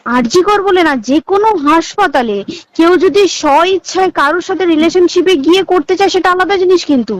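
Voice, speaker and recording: A woman's voice: very high voice, brisk, no echo. A woman with a West Bengal accent speaking casually and emphatically as a hospital staffer on a recorded phone call, often checking that her listener follows. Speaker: hospital staff member recounting events.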